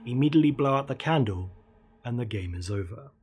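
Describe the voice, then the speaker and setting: A man in his thirties narrating a scary story in a theatrical, ominous way with deliberate emphasis. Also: mid-range voice, lively intonation, husky. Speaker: horror storyteller, show host. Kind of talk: dramatic creepypasta narration. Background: dark ambient music.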